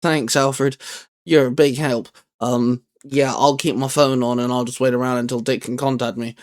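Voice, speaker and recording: A man's voice: medium pitch, little pitch movement, moderate pace. A man hosting casually, talking in an informal, hesitant, improvised way. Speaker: YouTube channel host. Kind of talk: casual, improvised skit and chat.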